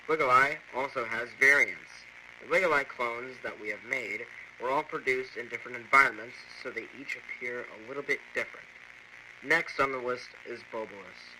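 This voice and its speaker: A man plays a male lab doctor narrating an analog horror guide. The voice is mid-pitched and very bright, almost sharp, with an informal, slightly fussy explaining manner. A light layer of noise runs under it. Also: poor audio. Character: chatty male lab doctor, presenting creature files. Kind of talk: scripted instructional narration for amateur analog horror.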